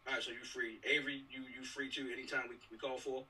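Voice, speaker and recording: Casting: rapid American man, bright tone, questioning and confirming, distant video-call mic. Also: medium pitch, level tone, breathy edge. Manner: questioning, confirms what others say. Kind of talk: casual planning chat on a group video call.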